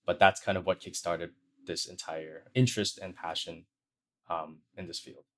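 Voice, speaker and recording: Soft-spoken low-voiced male guest. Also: steady tone, normal speed. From China. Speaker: guest, sound designer. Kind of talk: interview answers.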